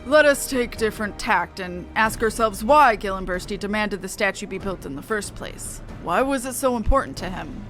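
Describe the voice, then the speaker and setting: A woman's voice: medium pitch, expressive, moderate pace, few pauses, fairly bright tone, soft background music, lightly acted. A woman voicing a pompous, hearty master crafter in a fantasy game, with florid, emphatic delivery. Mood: pondering. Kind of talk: reading game dialogue aloud in character.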